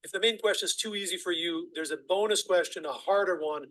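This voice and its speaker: Male video narrator, high pitch, steady instructive delivery. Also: rough recording. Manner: clear, measured, instructive. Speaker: online nutrition educator.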